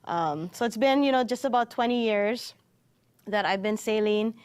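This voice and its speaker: A woman's voice: medium pitch, normal speed, smooth, no room sound. A middle-aged woman from Hawaii, an educator, telling her story as a studio interview guest. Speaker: guest, educator and canoe captain. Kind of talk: recounting personal story in interview.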